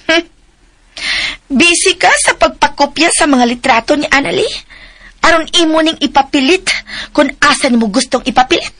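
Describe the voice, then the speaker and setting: A woman's voice: high voice, normal speed, a bit bright. An actress playing a clingy, jealous young woman in an emotional radio drama, insistent and pleading, with a Cebuano Filipino accent. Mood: sulky, accusing. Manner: emotional, insistent, wailing when upset. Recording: radio drama with faint music bed.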